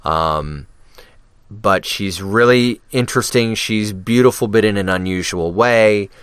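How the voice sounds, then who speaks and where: A man's voice: on the low side, a little slow, a bit bright, clear, resonant tone, dry recording. A man guesting on a podcast, chatting casually about his work, rambling with many fillers and restarts. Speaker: podcast guest, radio DJ and actor. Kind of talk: casual interview chat.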